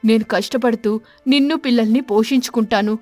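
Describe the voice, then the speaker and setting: Cartoon crow dad played by a woman: firm, caring, high for a male, faint music behind. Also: fairly quick, mellow, no echo, natural voice.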